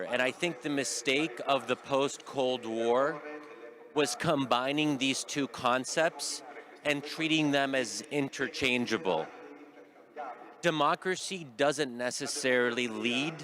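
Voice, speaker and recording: Man speaking over crowd noise. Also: medium pitch. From United States. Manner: measured, explanatory, careful. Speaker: panel speaker. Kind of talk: public panel discussion. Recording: clear crowd noise behind.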